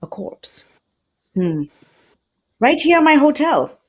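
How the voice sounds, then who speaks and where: A formal, theatrical Belgian detective played by a woman in an old-time radio mystery. The French accent is courteous and fussy, and the high voice moves through lively rises and falls. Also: slow pace, full character voice. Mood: brisk, formal.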